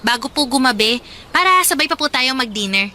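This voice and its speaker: Radio drama: a dutiful young daughter, high-voiced and crisp, gently polite. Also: female voice, animated, fairly quick, slight background noise. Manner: respectful, uses polite forms.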